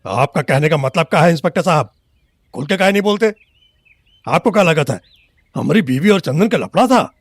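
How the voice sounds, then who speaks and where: Gravelly older village-bred husband played by a man, eastern Hindi heartland accent, animated, crude and menacing, audio drama villain. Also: on the high side, fairly quick, pauses often, no room sound. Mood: suspicious, demanding. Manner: rustic, menacing, colloquial. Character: enraged, abusive older husband, suspicious and crude.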